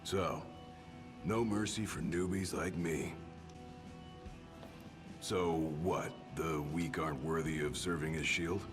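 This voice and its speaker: An actor playing a gruff, determined young royal bodyguard in a video game, with a blunt and casual tough-guy manner. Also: rumbling low, steady tone, slow, long pauses, over music. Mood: defiant. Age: young adult. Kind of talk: scripted video game acting.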